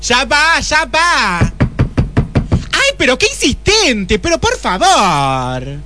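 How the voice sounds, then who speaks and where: Flirty, fussy Argentine secretary played by a man, very high voice, comic radio play, music underneath. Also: huge pitch swings, extremely slow, heavily acted. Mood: exasperated. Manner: gushing, overwrought. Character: flirtatious, fussy female secretary of a shabby detective.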